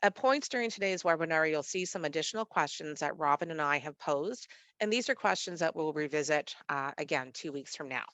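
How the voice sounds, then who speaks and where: A woman with a slightly low, nasal voice, stuffy from a cold, hosts an online training webinar. She reads in a measured, steady way with the odd filler. The sound is dry and close, from a computer mic on a video call. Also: dry recording. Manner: measured, read-aloud delivery with occasional fillers. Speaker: webinar host, executive director of a literacy network. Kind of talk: scripted webinar presentation.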